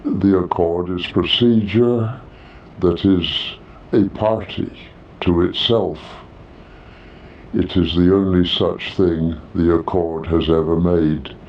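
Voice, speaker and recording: Man voicing a slow, low alien registry officer. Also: steady tone, dull audio. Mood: grave, formal. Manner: measured, terse, formal. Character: grave, formal alien registry officer, terse and unhurried. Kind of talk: scripted audio story character dialogue.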